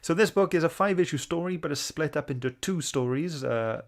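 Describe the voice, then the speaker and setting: A man's voice: a little high, animated, fairly quick. A man hosting a book club livestream, chatting informally and greeting viewers. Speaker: host.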